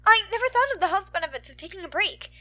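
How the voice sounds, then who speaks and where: A woman voicing an eager, sweet teenage boy who gushes with excitement in a story. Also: very high-pitched, fast talker, voice pushed up, full character voice. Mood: awed, gushing. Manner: gushing, cheering.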